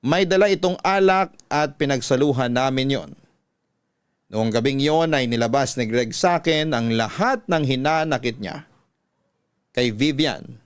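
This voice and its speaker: A man with a Filipino accent reading a listener's letter as scripted radio-style story narration, in a measured storytelling delivery. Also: mid-range voice, clean tone, dry recording.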